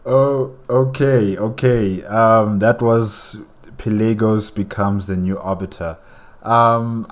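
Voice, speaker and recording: Deep-voiced man chatting casually, hesitant. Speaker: reaction video host. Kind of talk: casual chat, reacting to game cutscenes.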